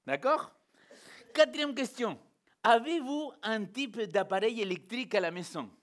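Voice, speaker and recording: A man's voice: sits high, unhurried. A man from Argentina, a native Spanish speaker, preaching as a pastor in an informal, interactive way, putting questions directly to his listeners. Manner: animated rhetorical questions, addressing listeners directly. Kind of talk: informal interactive sermon to a congregation.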